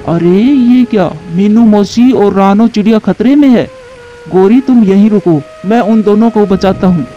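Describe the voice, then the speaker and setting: A man's voice: high-pitched, a little slow, highs cut off, faint music behind. A man voicing a helpful, dutiful crow in a children's cartoon fable, speaking earnestly and emphatically. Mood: urgent, heroic. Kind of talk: Hindi cartoon voice acting.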